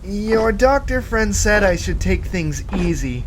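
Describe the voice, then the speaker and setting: A man's voice: high-pitched, big pitch swings, slow, noisy background, full character voice. A man playing a small, breezy, boastful robot in an audio drama, chatting away. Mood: breezy, wry.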